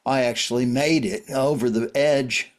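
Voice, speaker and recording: A man playing a world-weary, wry survivor in a drama reading, talking in a halting, conversational way. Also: mid-range voice, steady tone, lightly acted. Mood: reflective.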